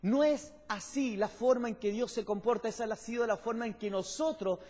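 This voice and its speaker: A man's voice: very high voice, fairly even intonation, moderate pace, a little mellow. A man preaching a sermon in an explanatory, emphatic teaching manner, putting questions to the congregation. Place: large church hall, on stage at a pulpit. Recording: echoey church hall with long reverb.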